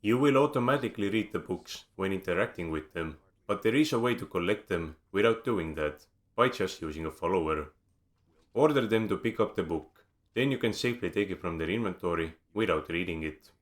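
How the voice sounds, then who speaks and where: A man with an Estonian accent reads a scripted game guide close to the mic. His voice sits very low, and his delivery is measured with a dry, wry edge. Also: animated. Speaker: video game guide narrator. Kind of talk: scripted voice-over narration.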